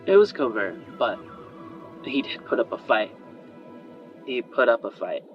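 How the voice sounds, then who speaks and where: A man's voice: medium pitch, sing-song, measured pace. Man playing female inventor for tabletop game: chatty, loyal, worried, hesitant delivery. Muffled sound, music bed. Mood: subdued, worried. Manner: hesitant, rambling, trailing off and sighing. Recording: muffled band-limited audio under music.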